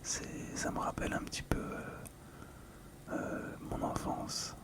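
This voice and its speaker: A middle-aged ASMR host talking through a collectible in a calm, gentle, hesitant way, close to the microphone. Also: takes it slowly. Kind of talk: whispered ASMR commentary.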